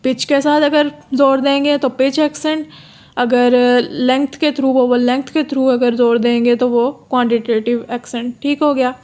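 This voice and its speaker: High, level-voiced woman tutor. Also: little pitch movement, a little mellow. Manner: reads English lines slowly, then explains them in Urdu; repetitive, checks in with "theek hai". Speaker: online course tutor. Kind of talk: lecture explaining course notes.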